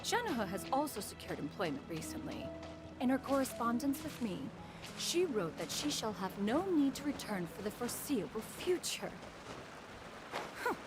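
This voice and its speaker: Formal old crane spirit lady in human form, talks about herself as "one", lively up and down pitch, music under it. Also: moderate pace. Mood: stern. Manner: formal archaic speech, refers to herself as "one". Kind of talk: video game cutscene voice acting. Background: game music.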